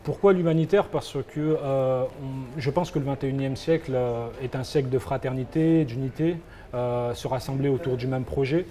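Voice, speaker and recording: A man's voice: medium pitch, moderate pace, warm tone. A young man from France answering interview questions, hesitant and full of fillers. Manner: hesitant, many fillers and restarts. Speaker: guest, founder of a football agency.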